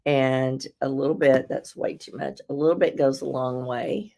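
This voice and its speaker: A woman with a slightly raspy voice hosts a casual live beauty product demo, chatting and rambling with viewers and laughing often. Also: very deep voice, fairly even intonation, normal speed, mellow. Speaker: host presenting beauty products.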